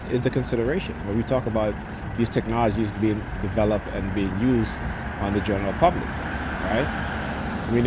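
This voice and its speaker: A man with a stuffy nose from a slight cold, talking in a rambling monologue that keeps ending on "right?" and restarting its sentences. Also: lowish voice. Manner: frequent "right?" tags, many restarts. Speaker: vlogger. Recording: loud street noise behind.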